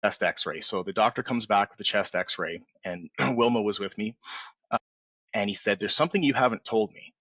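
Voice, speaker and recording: A man in his forties, a podcast guest telling his story in a relaxed, rambling way full of fillers and restarts. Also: fairly low pitch, normal speed, breathy edge, no echo, dull audio. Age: 40s. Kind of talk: candid storytelling in a podcast interview.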